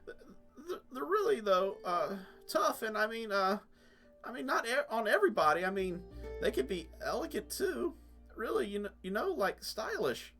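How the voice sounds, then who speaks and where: Smitten, flustered young guy for a game male performer, raised pitch, hesitant stammer. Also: sing-song, normal speed, pushed and bright, faint music behind, heavily acted. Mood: flustered, eager. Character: nervous, awkward, smitten young man.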